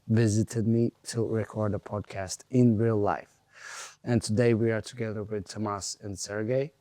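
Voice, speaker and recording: A man's voice: on the low side, warm tone, no echo. A man hosting a podcast, chatting casually with guests in an interview. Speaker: podcast host.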